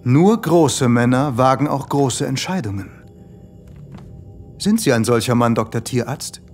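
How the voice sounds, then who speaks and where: A man playing a manipulative tempter in a comic audio drama, coaxing and persuasive as he makes his pitch. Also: lively intonation, measured pace, a little mellow, soft background music. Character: smooth-talking, manipulative male tempter and buyer.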